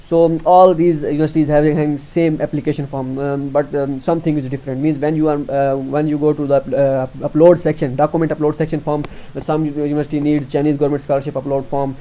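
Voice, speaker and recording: A young man from Pakistan with a Pakistani accent, explaining an online form step by step, halting often with fillers and restarts. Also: on the high side, little pitch movement, mellow, low-quality recording. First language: Urdu. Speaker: tutorial presenter. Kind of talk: screen-recorded walkthrough.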